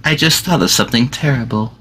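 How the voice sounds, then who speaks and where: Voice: medium pitch, fast, fairly bright tone, slight background noise, close to an everyday voice. An adult voicing a worried little boy at bedtime in a live old-time radio play reading. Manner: childlike, worried and questioning.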